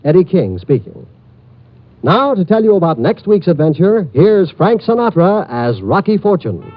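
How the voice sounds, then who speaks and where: A man's voice: high voice, very animated, moderate pace. A man announcing credits and a public service appeal in formal 1950s radio style, on an old lo-fi recording. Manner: smooth formal announcer delivery. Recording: dull lo-fi old radio transcription.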